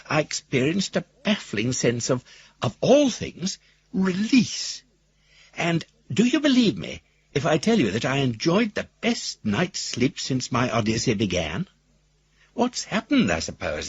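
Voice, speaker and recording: An actor playing a wry, pompous older gentleman rogue, husky, narrating his letters in ornate, wordy style for a radio drama. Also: mid-range voice, dull audio, heavily acted. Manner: wordy, ornate, self-regarding.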